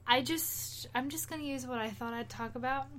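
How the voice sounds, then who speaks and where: A woman's voice: sits high, moderate pace, fairly bright tone, a little hiss or hum. A woman co-hosting a podcast, chatting casually and retelling stories in an informal, rambling, conversational way. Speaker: podcast co-host.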